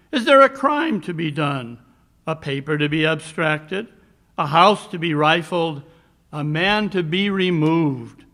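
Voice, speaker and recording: A man's voice: a little high, fairly bright tone. A man playing a coolly brilliant, brooding Victorian detective in a 1940s radio mystery style, speaking in precise, declamatory phrases. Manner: deliberate, precise, declamatory monologues. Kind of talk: 1940s radio mystery acting, live re-creation.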